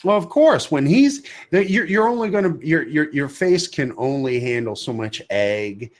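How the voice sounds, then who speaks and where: A man's voice: a little high, lively intonation, normal speed. A man co-hosting a podcast, giving blunt, sardonic opinions in a casual style. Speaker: podcast co-host.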